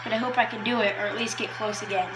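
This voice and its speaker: A woman's voice: mid-range voice, fairly even intonation, fast, a bit bright, echoey room, faint music behind, lightly acted, poor audio. A woman playing a proud, cheerful male baseball star in an amateur 1920s radio play. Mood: proud, happy.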